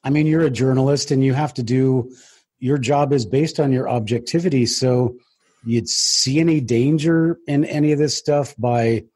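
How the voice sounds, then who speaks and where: Guy hosting a casual interview, husky dark voice, rambles through his questions. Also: mid-range voice, normal speed. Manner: rambling, rhetorical questions with restarts. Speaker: interview host.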